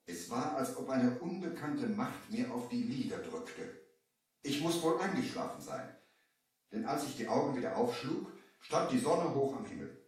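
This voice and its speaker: A man's voice: medium pitch, very animated, moderate pace, darkish tone, close to an everyday voice. An actor playing a grave traveler who tells of his own ordeal, solemn and theatrical, in a radio play. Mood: grave, dazed. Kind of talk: dramatic storytelling in a radio play. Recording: echoey distant old vinyl record.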